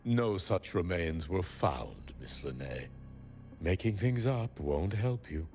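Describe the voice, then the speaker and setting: A coldly probing male professor in a video game, very deep in voice. He is condescending and insinuating, with lively swings of intonation over faint music. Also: slow pace, exaggerated voice. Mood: cold, accusing.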